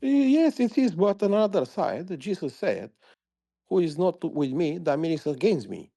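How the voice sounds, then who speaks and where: A man from Romania with a Romanian accent, calling in to a live show and asking his questions haltingly, with many ums and restarts. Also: a little high, big pitch swings, creaky, dark tone. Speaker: caller. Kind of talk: call-in question.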